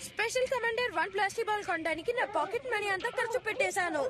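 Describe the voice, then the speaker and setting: A woman voicing a boastful, excitable young boy in a Telugu cartoon dub. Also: extremely high pitch, fast. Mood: grumbling. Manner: boastful, emphatic. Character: boastful, excitable young boy obsessed with his bouncy ball. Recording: loud music bed under the voice. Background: loud cartoon music.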